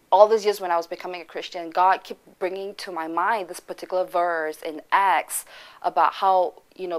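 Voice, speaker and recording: A woman from Singapore, giving an earnest personal faith testimony as a church member, pausing often as she reflects. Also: lowish voice.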